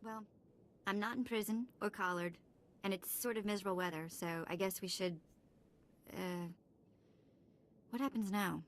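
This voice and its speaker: A woman plays a young female game companion with a mid-pitched voice. She talks casually, with cheeky, wry sarcasm and a playful streak. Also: moderate pace, pauses often, a little hiss or hum, natural voice. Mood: playful, relieved. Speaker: voice actor in a game. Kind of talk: cinematic video game acting.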